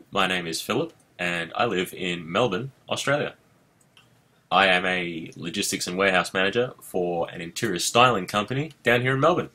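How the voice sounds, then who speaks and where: A man with a very deep voice and a bright, sharp tone. He answers an interview question with a measured, step-by-step explanation. He adds the occasional uh as he goes. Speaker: interviewee, warehouse manager.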